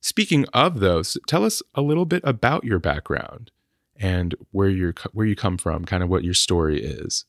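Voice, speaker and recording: A man's voice: deep voice, lively intonation, normal speed, no room sound, clean studio sound. A man hosting a podcast interview, asking earnest, conversational questions. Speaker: podcast host.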